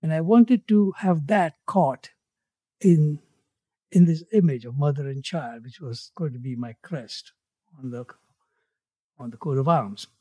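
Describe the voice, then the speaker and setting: Mellow, husky older man from Tanzania. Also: a little high, no room sound. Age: 80s. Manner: measured, voice drops away at phrase ends. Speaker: guest. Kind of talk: reflective interview conversation.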